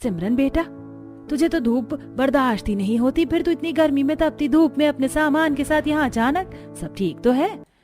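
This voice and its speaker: A woman's voice: high voice, normal speed, darkish tone, faint music behind, voice pushed up, close to an everyday voice. A woman voicing a concerned middle-aged mother in a cartoon story, anxious and questioning. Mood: surprised, worried. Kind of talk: Hindi cartoon story voice acting.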